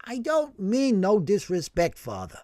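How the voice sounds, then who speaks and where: A man doing a brash, excitable old working-class guy. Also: high voice, a little slow, no room sound, studio quality, pitched above the performer's own voice, heavily acted. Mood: desperate. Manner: animated storytelling, ungrammatical slang.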